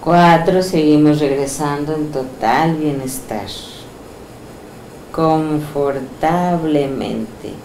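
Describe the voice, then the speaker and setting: A middle-aged woman with a Mexican accent, a hypnotherapist who guides a session with soft, slow suggestions and then chats warmly with her client in a small indoor room. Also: low voice, a little hiss or hum, rough recording. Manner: soft, slow counting and suggestions, then lively coaxing questions.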